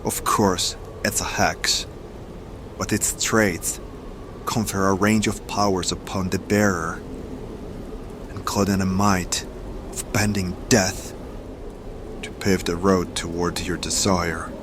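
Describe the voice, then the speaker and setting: An actor playing a solemn, darkly assured mystical mentor in a fantasy audio drama, speaking with measured, commanding gravity. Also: male voice, low voice, steady tone, noisy background. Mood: solemn, persuasive. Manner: measured, portentous, incantatory. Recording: music under the voice.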